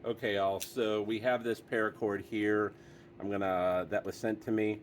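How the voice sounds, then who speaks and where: A man's voice: lowish voice, flat delivery, moderate pace, over music. A man hosting a casual product review, talking in an easygoing, chatty way with frequent fillers.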